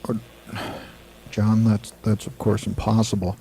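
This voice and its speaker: An actor playing an excited, impatient literature professor in an earnest, emphatic way, in 1980s radio drama style. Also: male voice, lowish voice, measured pace, creaky, a little hiss or hum, low-quality recording. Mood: earnest, intrigued.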